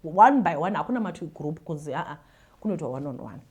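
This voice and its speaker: A woman's voice: low-pitched, lively intonation, fast talker, a little mellow, husky. A woman with a Zimbabwean accent hosting a show, telling stories and giving advice casually, with repeated words and acted-out retelling. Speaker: host reading listener confessions and giving advice.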